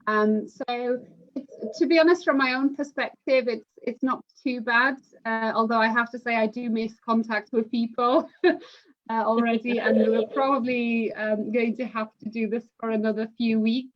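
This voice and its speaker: A woman from Poland with a fairly high voice talks in a calm, measured way, pausing often on fillers. She is on a video call from home. The sound is muffled and lo-fi, like compressed online call audio. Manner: measured, hesitant with many fillers. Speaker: university international recruitment manager. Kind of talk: live online admissions session.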